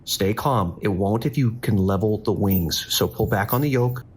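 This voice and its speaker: An actor playing a steady, weary police dispatcher in an audio drama, calm, measured and instructive. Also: male voice, on the low side, breathy edge. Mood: firm, steadying. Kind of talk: audio drama acting with monologue.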